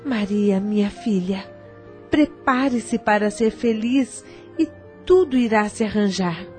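An actress playing a kind, motherly stepmother giving gentle advice in a radio drama. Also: medium pitch, takes it slowly, over music, natural voice. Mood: tender. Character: kind older stepmother, tender and wise.

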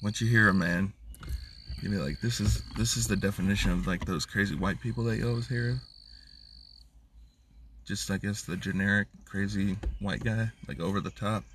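Laid-back low-voiced man rambling. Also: moderate pace, a bit bright, far from the mic, a little hiss or hum. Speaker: podcast co-host. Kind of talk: casual drunk chat.

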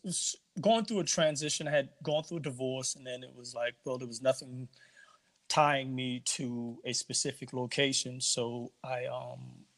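A middle-aged man from Barbados hosts a loose conversation. He talks in an animated way, jumps in eagerly, and often starts his sentences over. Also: mid-range voice. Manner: animated, interjecting, with restarts. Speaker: interview host. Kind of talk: casual interview chat.

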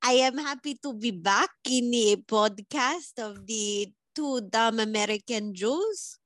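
A woman voicing a blunt, deadpan Eastern European woman caller who talks in slow, broken English with a put-on accent. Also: on the high side, dry recording. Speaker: comedy podcast caller.

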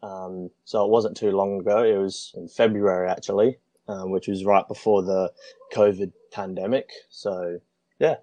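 Young Australian man, low voice, dry sound. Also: moderate pace, no echo. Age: young adult. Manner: relaxed, hesitant, lots of "um". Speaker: podcast guest. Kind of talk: casual chat.

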